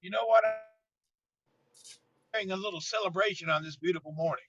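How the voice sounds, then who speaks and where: An upbeat man from Tennessee hosts a live stream and greets viewers by name. His high voice sounds bright and a little husky. The stream audio is dull and lo-fi. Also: a little fast. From Tennessee, USA. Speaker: live-stream show host. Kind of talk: casual live-stream hosting.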